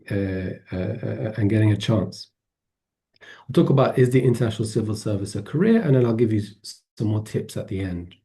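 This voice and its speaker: A man gives an online presentation over a video call. His voice is mellow and dark. He hesitates a lot and restarts his sentences as he goes. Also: medium pitch. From Poland, grew up in England. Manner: hesitant, many fillers and restarts. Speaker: webinar presenter.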